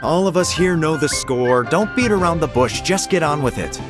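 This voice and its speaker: A man's voice: on the high side, animated, moderate pace, close to an everyday voice. A man voicing a frustrated, blunt young male rival in a game, impatient in how he talks. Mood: irritable. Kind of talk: game dialogue. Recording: game audio with music behind.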